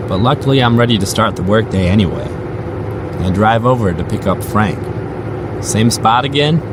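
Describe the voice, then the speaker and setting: A man's voice: lowish voice, a little fast, very noisy. A man narrating a first-person audio drama from a script.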